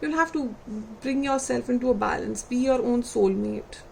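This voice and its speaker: A woman reading tarot cards for her viewers, unhurried and reflective, putting gentle questions to them and losing her voice. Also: on the high side, vocal fry, a little hiss or hum. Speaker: tarot reader.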